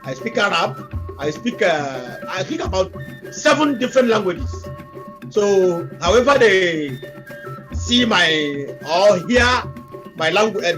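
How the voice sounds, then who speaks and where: High-voiced man, earnest. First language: Igbo. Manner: earnest, repetitive exhortations. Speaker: interview guest. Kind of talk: remote interview. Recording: music behind.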